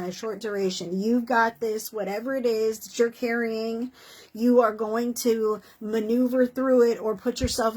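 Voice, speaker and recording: A woman with a slightly high voice that is worn and hoarse from a long day of readings. She talks casually and encouragingly, dotting her speech with "you know" and "okay.". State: losing her voice. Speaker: tarot reader. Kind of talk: casual tarot horoscope reading.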